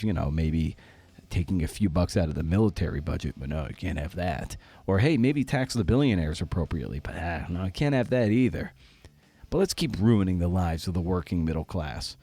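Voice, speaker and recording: A man's voice: deep voice, mellow. A man hosting a writing podcast, talking casually in a wry, self-deprecating way with rambling asides. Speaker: podcast host and writer.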